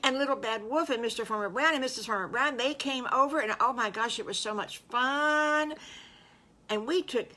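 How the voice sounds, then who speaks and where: A woman voicing an excitable, sweet little boy piglet in a children's story, gushing and repeating words eagerly. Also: fairly high pitch, moderate pace, pitched above the performer's own voice. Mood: excited, proud.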